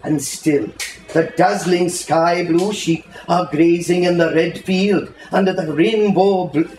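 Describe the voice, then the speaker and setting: A man giving an expressive, theatrical reading of a literary story at a live bookshop event, savouring the words. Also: high-pitched, slow pace, roomy sound, slight background noise, poor audio. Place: bookshop, indoors.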